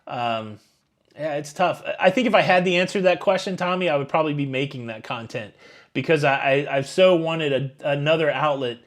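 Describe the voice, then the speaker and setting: Man, fifties, live-stream host, rambling chatty answers, fairly high voice. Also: brisk. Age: 50s. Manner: rambling, chatty, many fillers and restarts. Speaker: YouTube cruise channel host. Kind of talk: live Q&A chat reading super chats.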